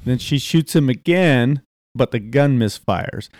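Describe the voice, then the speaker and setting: A man's voice: mid-range voice, lively intonation, a little slow, a little mellow, no room sound, clean studio sound. A man co-hosting a talk show in casual chat.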